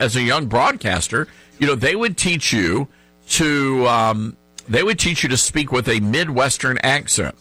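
A man with a mild Tennessee twang hosting a talk radio show, chatting in an animated, folksy way. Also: mid-range voice, dry recording, rough recording. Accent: Southern US, Tennessee. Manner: animated, folksy, laughs easily. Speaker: radio host.

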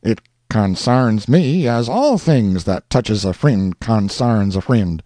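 A backwoods hunter speaks in grand, flowery phrases, read out by a man in a raised voice. The lines rise and fall with wide swings, but a country drawl slips through. The tone stays soft and dark, close and dry. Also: mid-range voice, expressive, slow pace, no echo, pitched above the performer's own voice. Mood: earnest. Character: grand-talking frontier woodsman, man, young adult.

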